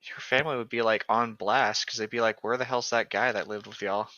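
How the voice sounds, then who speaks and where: A man's voice: lowish voice, fairly even intonation, fairly quick, bright, forceful tone, no room sound, highs cut off. A man co-hosting a read-along show, reading passages aloud and breaking off into casual, mocking jokes. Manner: jokey, mocking.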